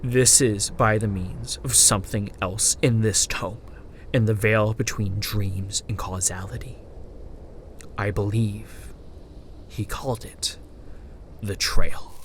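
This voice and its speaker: A man playing a haunted, dying survivor who gravely narrates his journal in a horror audio drama, deliberate and intense. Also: lowish voice, steady tone, background noise. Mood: grim, resigned.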